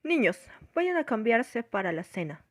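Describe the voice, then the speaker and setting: A woman's voice: fairly high pitch, sing-song, unhurried. A woman playing a strict, pedantic governess in a radio drama, with formal, stern delivery. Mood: controlled command. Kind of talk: scripted radio drama acting.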